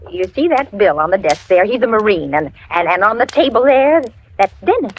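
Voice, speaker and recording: Kindly, anxious housewife for vintage radio suspense, played by a woman: animated pitch, hesitant motherly soothing, crackly old recording. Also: a little fast, natural voice. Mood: uneasy, soothing. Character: kindly, nervous older housewife, widening unease. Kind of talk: 1940s radio acting, with first-person narration.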